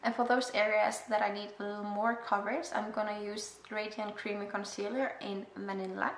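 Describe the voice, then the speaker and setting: Icelandic-accented woman with a gravelly edge. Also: mid-range voice, little pitch movement, fairly quick. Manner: chatty, self-deprecating, giggly asides. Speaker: makeup vlogger. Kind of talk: casual makeup tutorial. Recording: echoey room with faint hiss.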